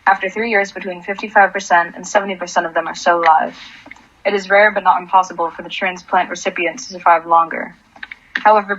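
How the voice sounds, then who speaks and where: A woman's voice: lowish voice, fairly bright tone, slight background noise, close to an everyday voice. Woman acting a bitter grieving mother, muffled sound. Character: bitter grieving mother, desperate, abandoned by her wife. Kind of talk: radio drama acting with first-person monologue.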